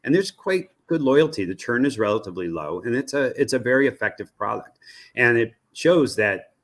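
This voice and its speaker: A man's voice: mid-range voice, expressive, moderate pace. A man with an American accent giving a conversational expert interview, explaining things and thinking aloud with frequent asides. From United States. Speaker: media research executive, interviewee.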